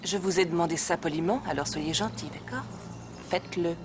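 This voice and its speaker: A woman's voice: lowish voice, brisk, close to an everyday voice. A woman voicing a cool, curt army lieutenant. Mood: steady, dry. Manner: calm, curt and firm. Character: tough female army crime investigator, cool and dry. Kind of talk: French film dub. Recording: film soundtrack with street noise.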